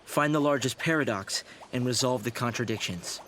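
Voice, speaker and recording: An actor playing an earnest, idealistic young researcher in a video game, speaking in a measured, formal, thoughtful way. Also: male voice, mid-range voice, fairly bright tone, slight background noise, natural voice. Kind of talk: video game cutscene acting.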